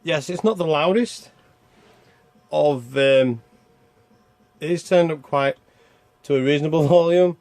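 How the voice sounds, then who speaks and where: Man rambling through gameplay, gravelly creaky voice. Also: fairly high pitch, unhurried, long pauses, a little mellow, no echo. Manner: rambling, thinking aloud. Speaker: video game reviewer. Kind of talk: casual gameplay commentary.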